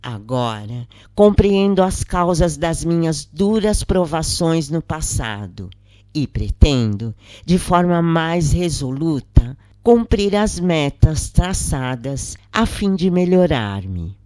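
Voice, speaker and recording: A narrator delivers a scripted first-person account at a slow, measured pace. The reading is solemn, with deliberate dramatic pauses. The sound is close and dry, free of room echo. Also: mid-range voice, very animated, darkish tone.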